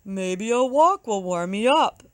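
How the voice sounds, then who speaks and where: A woman voicing a gentle, sad male bear who is cold and shivering, in a children's storybook read aloud, with drawn-out, hesitant, quavering speech. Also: medium pitch, expressive, dry recording, clean studio sound, natural voice. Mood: hopeful.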